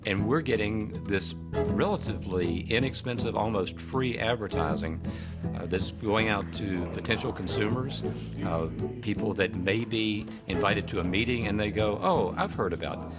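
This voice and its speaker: A man's voice: on the low side, normal speed. A man giving interview answers as a tourism promotion representative. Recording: prominent guitar music behind. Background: plucked guitar music.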